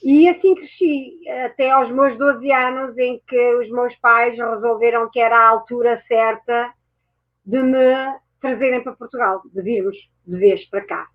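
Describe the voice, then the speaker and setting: A woman's voice: on the high side, fairly even intonation, unhurried. A woman who grew up in France, a guest mentor telling her own story reflectively, correcting herself as she goes. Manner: reflective, speaks with many self-corrections. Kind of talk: personal storytelling in a chat. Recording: tinny phone-like audio.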